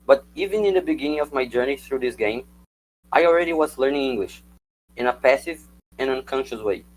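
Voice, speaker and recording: A man's voice: mid-range voice, brisk, rough recording. Young non-native man giving a slide talk. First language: Portuguese. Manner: reads prepared script, calls for slides. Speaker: student presenter. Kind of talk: prepared slide presentation.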